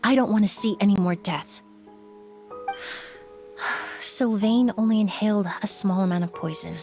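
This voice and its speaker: An actress playing a calm young perfumer who explains her reasoning in a measured way. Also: medium pitch, soft background music, natural voice. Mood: somber. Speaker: perfumer, apprentice.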